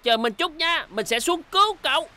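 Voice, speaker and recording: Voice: high-pitched, animated, moderate pace, very noisy. An actor voicing an anxious, apologetic little talking truck in a dubbed children's cartoon, hesitant and pleading. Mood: alarmed.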